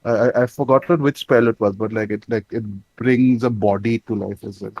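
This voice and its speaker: A man's voice: medium pitch, steady tone, brisk, darkish tone, rough recording. A man acting as game master in casual tabletop talk, informal and given to casual swearing.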